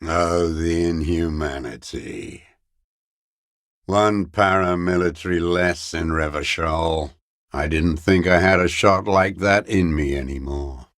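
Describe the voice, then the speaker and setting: Casting: a bitter old sniper in hiding, video game; creaky, gravelly, scornful, very dry close sound. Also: male voice, deep voice, a bit bright, no room sound, exaggerated voice. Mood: grim, unrepentant. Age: older. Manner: slow, contemptuous, bitter invective. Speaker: video game character actor. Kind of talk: dramatic video game voice acting.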